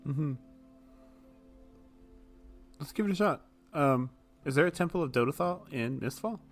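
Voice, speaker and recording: A man with a somewhat high voice chats as a player in a tabletop game. He is informal and jokey, and quiet music plays behind him. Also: animated, unhurried. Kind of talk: casual in-character game chat.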